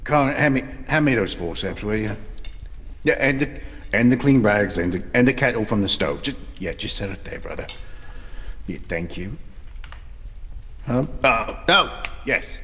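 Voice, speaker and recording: A man playing a rugged northern clansman sage in a fantasy audio drama, hearty but distracted, with a halting, exclamatory way of talking. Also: on the low side, expressive, a bit bright, slight background noise. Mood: distracted, explaining. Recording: long hall reverb, music underneath.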